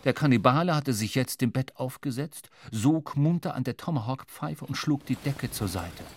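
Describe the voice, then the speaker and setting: A man's voice: medium pitch, slight background noise, natural voice. An actor playing a wry young sailor who narrates his adventures expressively in a literary audio drama. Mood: measured, wry. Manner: measured, expressive storytelling.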